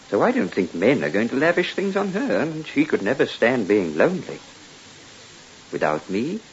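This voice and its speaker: Actor as a cool, calculating husband, muffled old radio. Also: male voice, medium pitch, lightly acted. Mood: quietly vindictive. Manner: measured, deliberate, quietly commanding. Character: cool, calculating wronged husband turning the tables. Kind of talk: old-time radio drama acting. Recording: muffled old radio recording, steady hiss.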